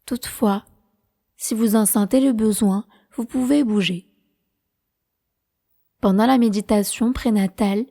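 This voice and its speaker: Slow, mellow woman guiding a meditation. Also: fairly high pitch. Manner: calm, measured, soothing instruction. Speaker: guided meditation teacher. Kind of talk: slow guided prenatal meditation narration.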